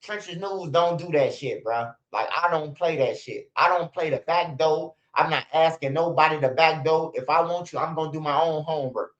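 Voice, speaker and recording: A man's voice: on the high side, moderate pace, few pauses, fairly bright tone. Forty-something man, blunt, lo-fi. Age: 40s. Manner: blunt, profane, sprinkles "bro" and "man". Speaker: host of a street news channel. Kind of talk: casual livestream storytelling.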